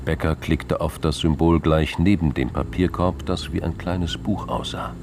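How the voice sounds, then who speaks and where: A man with a gravelly voice narrating a scripted crime drama in a studio. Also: very deep voice, fairly even intonation, a little slow. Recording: music behind.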